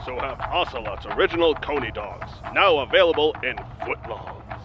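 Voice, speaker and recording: A man's voice: mid-range voice, expressive, moderate pace, natural voice. A man acting a slick restaurant commercial announcer in a comedy audio play. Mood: salesy. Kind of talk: mock advertisement voice-over. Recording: dull sound under backing music.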